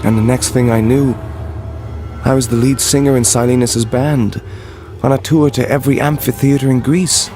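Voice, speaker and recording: A man's voice: medium pitch, steady tone, moderate pace, music in the background, lightly acted. An actor playing an earnest, naive Greek singer who tells his story plainly in a comedy audio drama. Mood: wistful, dramatic.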